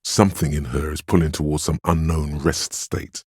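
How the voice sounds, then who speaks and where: A man voicing a steadfast inner voice of willpower in a video game, a stern yet encouraging mentor with a measured, solemn delivery and a gravelly, husky tone. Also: rumbling low, steady tone, dry recording, studio quality. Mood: measured, firm. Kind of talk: scripted game narration, second-person counsel.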